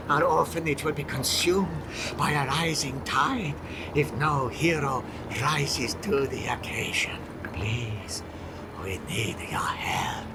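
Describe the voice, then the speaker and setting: An actor playing a solemn, grandiose sewer creature with a breathy, reverent manner in a video game cutscene. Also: male voice, mid-range voice.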